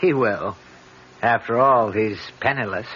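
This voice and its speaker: Earnest male believer in omens, radio drama, played by an actor: halting, anxious, solemn, on a noisy, dull recording. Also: fairly low pitch, close to an everyday voice. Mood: subdued. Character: earnest, uneasy, superstitious man, in awe of mystery. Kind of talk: dramatic radio play acting.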